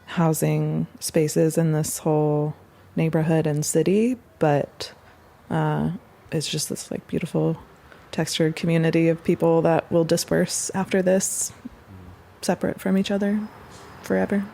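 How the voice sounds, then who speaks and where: A woman's voice: low-pitched, little pitch movement, a little slow, creaky, dark tone. A woman speaking casually and reflectively in an interview. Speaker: resident of a collective house, interviewee.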